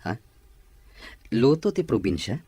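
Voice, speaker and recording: Husky-voiced male boss. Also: medium pitch, very animated, measured pace, mellow, no echo, heavily acted. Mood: curious, pleased. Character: male company boss, courteous, curious about home cooking. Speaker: radio drama actor. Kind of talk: Ilocano radio drama acting.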